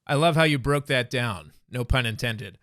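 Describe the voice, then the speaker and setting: A man's voice: medium pitch, normal speed, fairly bright tone, dry recording, studio quality. A man hosting a podcast, upbeat and affirming, paraphrasing his guest's answers back to him. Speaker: podcast host. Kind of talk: friendly interview, podcast outro.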